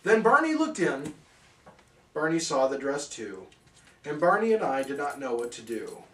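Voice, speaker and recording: Male storyteller, theatrical, unhurried, very animated intonation, for rhyming bedtime parody; roomy, slightly distant sound. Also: a little high. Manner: theatrical, measured. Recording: roomy, somewhat distant microphone.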